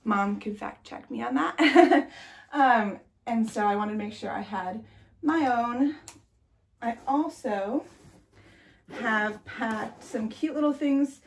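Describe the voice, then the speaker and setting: A woman's voice: a little high, takes it slowly, a little hiss or hum. A young woman in her twenties, congested and chatty, talking to camera in a nursery room. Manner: chatty, with many "like"s and light laughs. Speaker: vlogger. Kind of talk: casual chat to camera.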